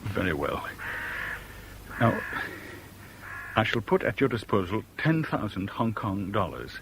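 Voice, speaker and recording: A man's voice: fairly low pitch, sing-song, measured pace. Brooding man, widely varied intonation. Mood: troubled, subdued. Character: brooding, reluctant middle-class man caught in intrigue. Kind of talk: 1970s radio drama acting, with first-person narration. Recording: hissy 1970s radio broadcast recording.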